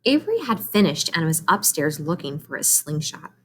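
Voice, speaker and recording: A woman doing an expressive read-aloud for children, speaking directly to her young listeners in an animated way. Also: low voice, normal speed, a little hiss or hum.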